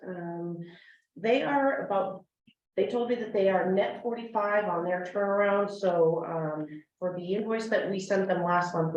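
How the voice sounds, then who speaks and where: A woman's voice: on the low side, darkish tone. Woman reporting finances in an echoey room. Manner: halting, many fillers. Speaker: finance officer giving report. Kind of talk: meeting report. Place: meeting room. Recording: echoey room, far from mic.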